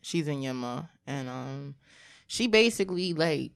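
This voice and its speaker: A young woman in her twenties, a podcast guest telling her story in a candid, casual and blunt way, in a small studio at a boom mic. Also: animated, unhurried, vocal fry, smooth. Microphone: studio mic on a boom arm.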